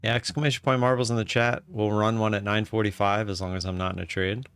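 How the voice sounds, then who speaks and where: A man in his late thirties with a fairly low, clean and resonant voice hosts a live stream. He rambles in a relaxed way and reads viewer comments aloud. His talk is full of "uh" fillers and restarted sentences. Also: quick pace, clear, resonant tone. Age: 30s.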